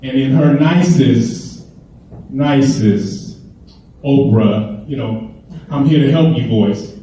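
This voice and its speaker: A man's voice: medium pitch, takes it slowly, mellow. A middle-aged man from Chicago giving a keynote speech and telling funny stories in a casual way. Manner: storytelling, humorous, conversational. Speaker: keynote speaker. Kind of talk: motivational speech. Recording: echoey hall, mic far off, faint hiss.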